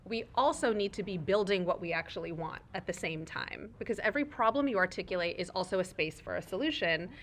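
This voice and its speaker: A woman whose first language is Urdu speaks at a live fireside chat, her voice bright and clear. She talks in a thoughtful, expansive way, often tagging her points with "right?". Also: lowish voice, animated, fast talker, hardly any pauses, a little hiss or hum. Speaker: guest speaker, foundation leader. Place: event room with audience.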